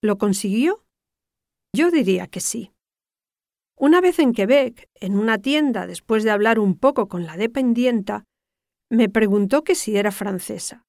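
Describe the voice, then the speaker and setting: An older woman from Spain with a Castilian accent delivers scripted educational narration in a measured, didactic way, articulating carefully. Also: mid-range voice, expressive, creaky, a little mellow, no echo, clean studio sound. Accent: Castilian Spanish. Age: 60s or older.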